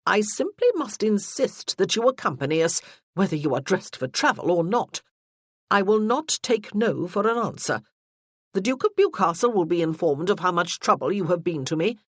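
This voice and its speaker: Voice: mid-range voice, expressive, moderate pace, dry recording, studio quality, lightly acted. An audiobook narrator voicing an imperious older noblewoman who issues commands. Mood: insistent. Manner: commanding, haughty.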